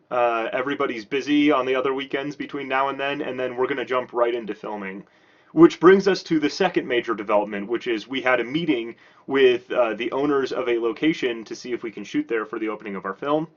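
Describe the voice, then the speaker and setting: A man hosts a casual filmmaking diary, talking candidly and conversationally as the writer-director. His pitch sits in a middle range. The sound is a little dull and lo-fi. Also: fairly bright tone. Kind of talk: casual production diary to camera.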